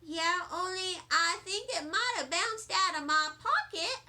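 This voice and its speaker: Woman playing a high, bright, feisty kindergarten girl. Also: measured pace, heavily acted. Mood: defensive. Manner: childlike, emphatic, dramatic phrasing with kid grammar. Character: feisty, impish kindergarten girl telling her story. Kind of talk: expressive children's storybook reading.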